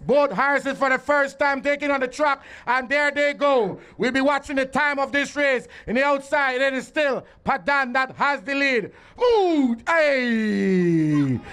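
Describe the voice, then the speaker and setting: A man's voice: very high voice, bright, forceful tone. A man calling live horse races at an outdoor track, repeating the horses' names as they run. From Belize. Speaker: race announcer. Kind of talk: live horse racing commentary. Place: outdoor racetrack.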